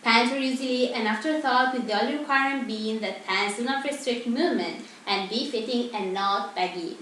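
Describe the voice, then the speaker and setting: Young woman, student presenter, scripted delivery, raised pitch, far from the microphone, strongly reverberant room. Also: brisk, fairly bright tone. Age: teenager or young adult. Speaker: student presenter of a mock 1950s TV broadcast. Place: echoey indoor room. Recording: very echoey room, distant mic, faint noise.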